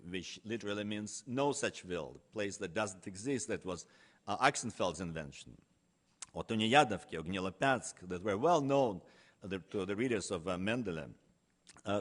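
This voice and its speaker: Male professor giving a halting academic lecture. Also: medium pitch. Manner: halting, with frequent hesitations. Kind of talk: academic lecture, partly read from notes.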